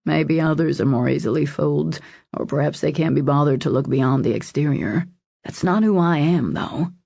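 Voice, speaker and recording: A woman voicing a devoted, protective man in an audiobook romance, in a low husky murmur, earnest, intimate and reassuring. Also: moderate pace, warm tone, no room sound, studio quality. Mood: tender, earnest.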